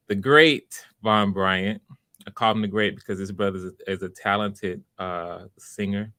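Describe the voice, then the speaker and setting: A man hosting a live online audition stream in casual, halting, unscripted chat, welcoming and encouraging to his viewers. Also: lowish voice, a bit bright, dry recording, studio quality. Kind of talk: casual livestream chat, greeting viewers by name.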